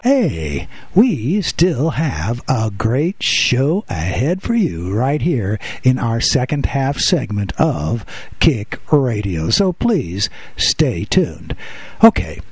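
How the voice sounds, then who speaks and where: A man hosting a radio podcast, talking in chatty patter with filler pauses and joking at his own expense, sitting close to the mic. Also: medium pitch, huge pitch swings, slightly rough, no room sound. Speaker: podcast host. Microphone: close to the microphone.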